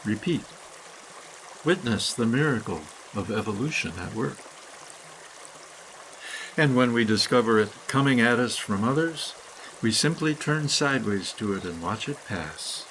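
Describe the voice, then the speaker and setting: A man of medium pitch delivers a scripted guided meditation like a spoken prose poem. His phrasing is measured and deliberate, with room left between phrases. Flowing water is clearly heard behind the voice. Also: animated, a bit bright, a little hiss or hum, rough recording. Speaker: guided meditation reader, author.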